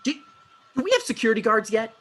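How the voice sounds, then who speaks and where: A man's voice: very high-pitched, big pitch swings, brisk, fairly bright tone, slight background noise, pitched above the performer's own voice, heavily acted. A man playing an anxious, self-important talk show host in his thirties in a comedy table read, petulant and defensive. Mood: anxious, indignant. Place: video call.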